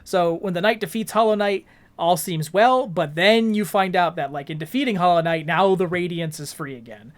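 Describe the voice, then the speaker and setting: A man's voice: sits high, moderate pace. A man co-hosting a podcast, talking casually and explaining things in colloquial language. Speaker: podcast co-host. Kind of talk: casual video game discussion.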